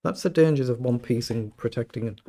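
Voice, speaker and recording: A British man with a slightly croaky voice, casually commentating his own chess game and thinking aloud. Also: mid-range voice, brisk, dark tone. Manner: thinking aloud, self-questioning. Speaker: chess streamer.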